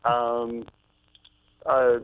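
A man's voice: mid-range voice, monotone, extremely slow, clean tone. A man in his thirties, a fitness author and trainer, talking earnestly in a phone-in interview with many ums and restarts. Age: 30s. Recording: tinny phone line.

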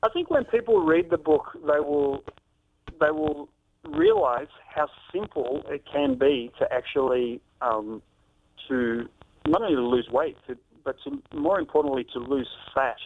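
A man's voice: fairly high pitch, wildly swooping pitch, measured pace, vocal fry. A man in his thirties, a fitness author and trainer, talking earnestly in a phone-in interview with many ums and restarts. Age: 30s. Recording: tinny phone line.

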